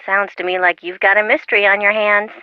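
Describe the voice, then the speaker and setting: A woman's voice: mid-range voice, level tone, brisk, clean tone, no echo. An actress playing a perky, flippant young woman, a teasing friend in a video game, heard through a telephone filter. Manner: playful, teasing. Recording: muffled lo-fi telephone-style sound.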